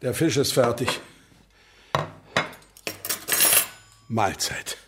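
A man's voice: medium pitch, unhurried, very noisy, natural voice. A man playing a terse, grumbling older loner in a German children's radio play. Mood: grumpy. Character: grumbling, laconic older man living alone, Herr Burczik.

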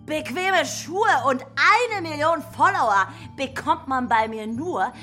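A woman's voice: high-pitched, expressive, slow pace, pushed and bright, faint music behind, full character voice. A woman playing an imperious, short-tempered villain boss in a children's radio play, theatrical, scornful and commanding. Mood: haughty, menacing.

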